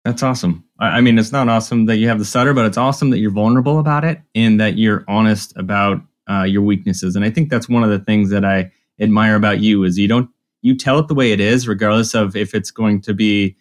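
A man hosting a podcast, talking in a friendly, enthusiastic way with quick asides. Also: on the low side. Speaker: podcast host. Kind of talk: casual interview hosting.

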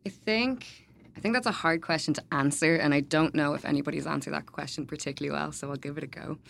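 A woman speaking as a guest on a podcast, answering in a relaxed conversational way with light laughs and frequent fillers. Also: deep voice, quick pace, gravelly. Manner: laughs lightly, many ums and kind-ofs. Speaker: events manager, podcast guest. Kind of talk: casual interview answers.

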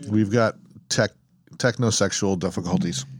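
A man's voice: lowish voice, normal speed, slight background noise. Man joking around on a podcast. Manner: joking, rambling with many restarts. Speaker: podcast co-host. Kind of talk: casual banter. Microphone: headphones and mic stand.

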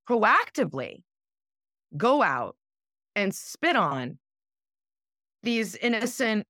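An emphatic, indignant woman argues her point in a casual political chat and swears for emphasis. Her pitch swings widely as she talks. The recording is close and dry. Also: a bit bright, dry recording. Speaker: guest commentator.

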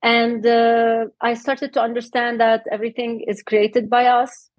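A middle-aged woman from Lebanon, a health coach and guest, talking in a relaxed interview and laughing often. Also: on the high side, measured pace, no room sound. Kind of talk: casual interview talk.

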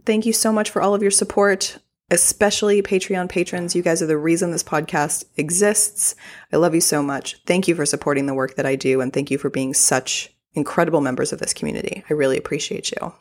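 Young texas woman doing her podcast intro, low voice, gushy and chatty. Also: fairly even intonation, brisk. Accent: mild Texan. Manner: chatty and effusive. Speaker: podcast host. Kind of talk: casual solo podcast talk.

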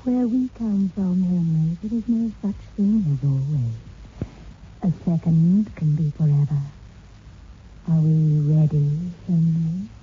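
Slow hypnotic temptress, thin vintage radio. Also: female voice, deep voice, slight background noise. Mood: seductive, mysterious. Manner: slow, coaxing, deliberate. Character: seductive, commanding female hypnotic temptress. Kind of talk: old-time radio horror acting. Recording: muffled, phone-like vintage radio recording.

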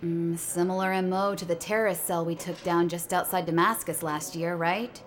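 A woman's voice: on the low side, steady tone, normal speed, natural voice. A woman playing a cool, smug counterterrorism agent with clipped delivery in an audio drama.

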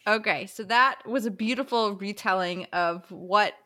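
A woman's voice: mid-range voice, unhurried, sharp tone. A woman co-hosting a book recap podcast in casual, playful, informal language.

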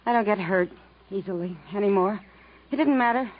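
A woman's voice: medium pitch, fairly quick, close to an everyday voice. An actress playing a desperate, embittered woman stranded in the jungle, melodramatic in the manner of 1950s radio drama. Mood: weary, wounded. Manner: melodramatic, pleading, accusing. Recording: dull, noisy 1950s radio recording.

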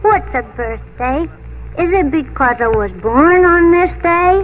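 A woman's voice: very high voice, expressive, a little slow, slight background noise. A woman playing a curious, sweet five-year-old boy with childlike questioning in a 1950s radio drama. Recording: tinny vintage radio broadcast with music.

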